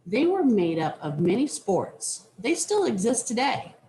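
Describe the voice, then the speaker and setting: Woman reading a kids fact book out loud, slow teachery delivery, voice goes up and down, bit of background noise. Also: fairly high pitch, very animated, poor audio. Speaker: reader. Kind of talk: read-aloud of a children's nonfiction book.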